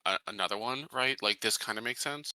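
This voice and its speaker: A man with a very bright, sharp voice chats as a player in a tabletop game. He is casual and tentative, questioning rules, recorded dry. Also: medium pitch, fairly quick, no echo.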